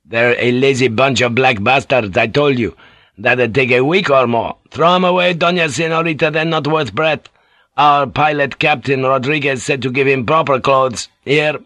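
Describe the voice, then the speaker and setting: A man reading an audiobook, voicing a crude, lewd middle-aged ship's boatswain with a loud, blustering manner. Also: mid-range voice, moderate pace, dry recording, pitched above the performer's own voice, close to an everyday voice. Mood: gruff, blustering. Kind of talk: audiobook character voice.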